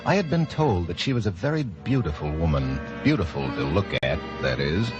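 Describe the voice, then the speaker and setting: Blind radio detective: low, expressive voice, composed and wry, narrating over music on a muffled old broadcast. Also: male voice, normal speed. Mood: brooding, wry. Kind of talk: old-time radio detective drama acting.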